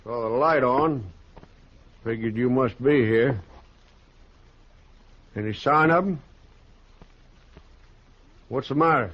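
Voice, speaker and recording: A sly, defiant western killer with a medium-pitched, menacing voice. He is acted in 1950s radio drama style, with the dull sound of an old recording. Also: slow, long pauses. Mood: feigned ease.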